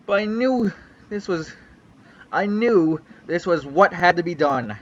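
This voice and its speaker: A man's voice: high voice, highs cut off. A young man giving a mock-dramatic reading of a fanfic on a livestream, with a theatrical, sweeping storyteller delivery. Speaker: reader on a livestream.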